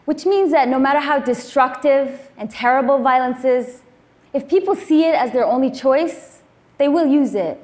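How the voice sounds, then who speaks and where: A woman in her thirties, born in Afghanistan, giving a prepared public talk in a measured, earnest way. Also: sits high, a little mellow. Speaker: speaker.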